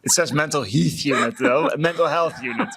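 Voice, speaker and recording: A man's voice: a little high, fairly quick, a bit bright, a little hiss or hum. A man co-hosting a podcast, chatting casually and reading out reviews. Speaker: podcast co-host.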